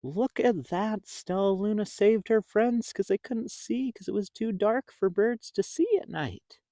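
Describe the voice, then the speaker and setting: Children's storytime host: slow, expressive delivery, simple and explanatory, in a close dry recording. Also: mid-range voice, no room sound. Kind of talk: animated storybook reading and chat to young children.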